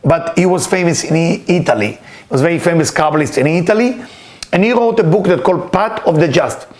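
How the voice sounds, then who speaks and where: Man, high-pitched, earnest spiritual teacher, emphatic, repeats words. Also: a little fast. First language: Hebrew. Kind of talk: weekly inspirational talk.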